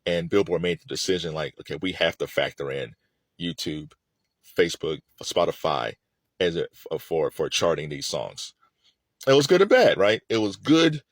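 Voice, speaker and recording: A man's voice: deep voice, no room sound. A middle-aged man giving opinionated, unscripted music commentary in an emphatic, conversational way.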